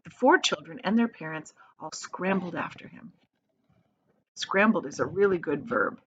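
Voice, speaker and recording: Woman, teacher read-aloud, expressive, very varied intonation, dramatic word emphasis, slightly lo-fi sound. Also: fairly low pitch, moderate pace, slight background noise.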